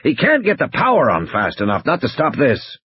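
A man voicing a gruff, injured game warden, blunt and urgent, in dramatized audiobook dialogue. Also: mid-range voice, wildly swooping pitch, brisk, no echo, dull audio, pitched above the performer's own voice, exaggerated voice. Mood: blunt, exasperated.

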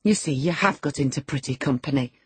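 A woman as a low, husky, sensible nursemaid. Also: fairly quick, darkish tone, no echo, exaggerated voice. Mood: chiding. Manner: admonishing, soothing. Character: sensible, protective nursemaid soothing and chiding her charge. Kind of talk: dramatised audiobook character.

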